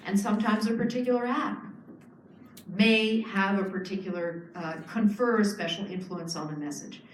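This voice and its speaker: A woman's voice: mid-range voice, normal speed, recorded from a distance, slight background noise. A woman giving a public lecture, explaining earnestly with frequent fillers and restarts. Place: lecture hall. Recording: echoey hall, speaker off-mic.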